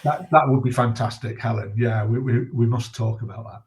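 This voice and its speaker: A man in his sixties speaking as an interview guest, earnest and reflective, choosing his words hesitantly with pauses. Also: medium pitch, darkish tone. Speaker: guest, retired journalist and charity campaigner.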